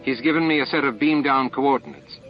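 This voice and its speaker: A man's voice: medium pitch, steady tone, fairly quick, gravelly, faint music behind, pitched above the performer's own voice, natural voice. A man voicing a blunt, fiery female first officer in an audiobook. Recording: muffled, band-limited tape audio.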